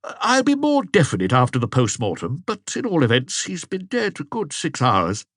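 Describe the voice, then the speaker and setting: A man voicing a brisk, clinical, spry old police doctor in an audiobook. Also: a little high, huge pitch swings, fairly bright tone, dry recording, clean studio sound, pitched above the performer's own voice, full character voice. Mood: matter-of-fact.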